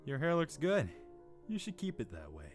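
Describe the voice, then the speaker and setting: A man's voice: a little high, huge pitch swings, normal speed, music in the background. A man playing a smug, manipulative young god with a casual, dismissive delivery in a comic dub. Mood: low, controlling.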